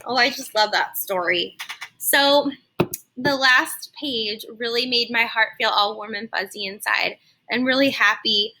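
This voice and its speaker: A woman reading a children's story aloud like a teacher, animated and explaining things simply for young listeners. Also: on the high side, measured pace, a little hiss or hum, poor audio. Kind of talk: expressive children's read-aloud with explanatory asides.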